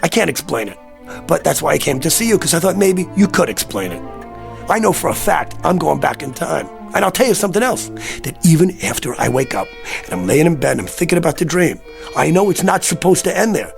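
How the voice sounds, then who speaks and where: A man's voice: a little high, sing-song, a little fast, gravelly, faint music behind. An actor playing an agitated, desperate American man in a radio drama, pleading insistently in streetwise talk. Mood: troubled, insistent. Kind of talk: vintage radio drama acting.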